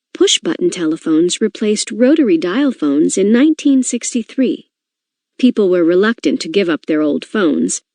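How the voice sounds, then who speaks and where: A woman reading an educational book aloud in an expressive, clearly phrased way. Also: medium pitch, no room sound, clean studio sound. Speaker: reader of a children's nonfiction book. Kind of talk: educational read-aloud narration.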